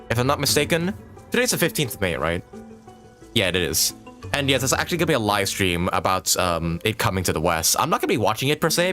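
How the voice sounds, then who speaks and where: A man's voice: on the low side, sharp tone. A man live-streaming a game, chatting casually with viewers in a joking, musing way.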